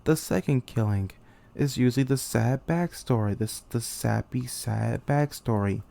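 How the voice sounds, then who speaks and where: A man playing a betrayed, despairing young military commander in a theatrical, halting anime-game monologue. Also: mid-range voice, gravelly, dark tone, lightly acted. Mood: bitter resignation. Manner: theatrical, halting, anguished delivery. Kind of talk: dramatic monologue, anime-game fan voice reel.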